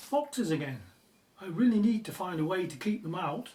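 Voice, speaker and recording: Grumbling British academic, noisy recording. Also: male voice, high voice, lively intonation, moderate pace. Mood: irritated. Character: gloating male Egyptologist, talking to himself at home. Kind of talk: audio drama acting.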